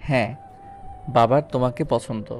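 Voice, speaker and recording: A man plays a stern schoolteacher pushed into marriage, in a romantic audio story. His voice is mid-pitched, firm and lecturing, with soft music underneath. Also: fairly even intonation, moderate pace, lightly acted. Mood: subdued, blunt. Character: stern, reluctant schoolteacher groom, resigned and blunt. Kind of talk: Bengali audio story acting.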